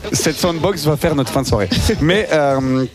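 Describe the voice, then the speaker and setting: A man's voice: on the high side, normal speed. A man co-hosting a casual music radio show, teasing his partner and asking lots of questions with hesitant fillers. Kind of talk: casual on-air chat about music. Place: radio studio. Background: music playing.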